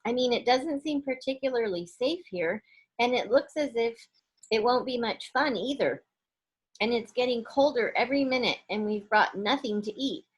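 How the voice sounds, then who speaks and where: A woman's voice: fairly high pitch, moderate pace, breathy edge. Woman as a cautious, hesitant storybook girl. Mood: worried. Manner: hesitant, worried.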